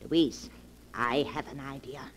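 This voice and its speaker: An actress playing a bitter, determined elderly aunt in melodramatic, emphatic 1940s radio mystery style. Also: female voice, a bass voice, fairly even intonation, unhurried. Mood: urgent, scheming. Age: older. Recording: noisy 1940s radio broadcast recording.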